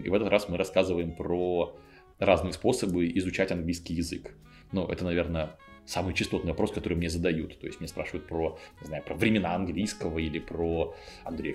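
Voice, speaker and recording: A man's voice: sits low, steady tone, brisk, darkish tone, soft background music. A man whose native language is Russian, hosting a podcast in a casual, joking, informal way. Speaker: podcast host.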